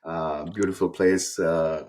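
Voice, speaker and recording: A man hosting a podcast interview, speaking in a measured way with frequent hesitations. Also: low-pitched, steady tone, a little mellow. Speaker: podcast host.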